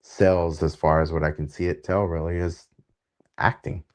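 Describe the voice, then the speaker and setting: A man hosting a casual interview chat, relaxed and laughing often. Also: sits low, moderate pace. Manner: laid-back, laughs often. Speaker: podcast host.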